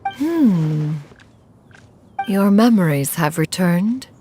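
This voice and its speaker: A woman's voice: on the low side, lively intonation, extremely slow, warm tone, faint music behind. A woman playing an aloof, wise immortal mountain master in a video game, speaking formally in old-fashioned phrasing. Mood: grave, compassionate. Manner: formal, archaic, refers to self as "one". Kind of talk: dramatic video game voice acting.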